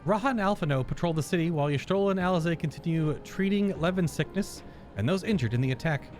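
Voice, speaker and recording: A man reading game dialogue aloud as an earnest, dutiful fantasy character with formal, measured wording. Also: a little high, darkish tone, soft background music, lightly acted. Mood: earnest, resolute.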